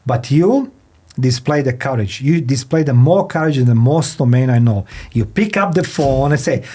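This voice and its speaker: A man who is a non-native English speaker hosts a podcast interview, speaking haltingly and often repeating his phrases. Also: medium pitch, expressive, warm tone. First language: not English. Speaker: podcast host. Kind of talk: podcast interview, introducing and questioning a guest. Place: studio.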